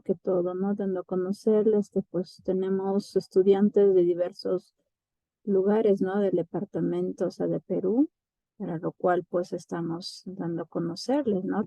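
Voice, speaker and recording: Peruvian teacher with a mellow, dry voice. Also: female voice, mid-range voice, gravelly, no echo, poor audio. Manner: casual, repeats "¿no?" often. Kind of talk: online class lecture. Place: home, remote video class. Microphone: computer mic on video call.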